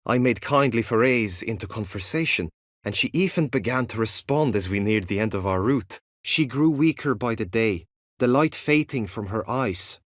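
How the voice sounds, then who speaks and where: A male actor as a gallant young knight from a medieval fantasy game, earnest and lovestruck. His phrasing is formal and archaic, in a mid-pitched voice, recorded very dry and close. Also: animated, moderate pace, no echo, natural voice. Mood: sorrowful, reminiscing. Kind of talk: video game voice acting, medieval fantasy drama.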